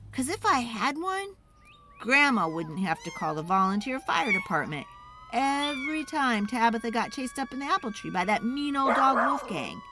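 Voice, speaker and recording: Woman playing an excitable young kid, high lively voice, wheedling, dramatic storybook delivery, some background noise. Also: moderate pace. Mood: eager, boastful. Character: eager, pleading young child begging Dad for a pet. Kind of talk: children's storybook read-aloud performance.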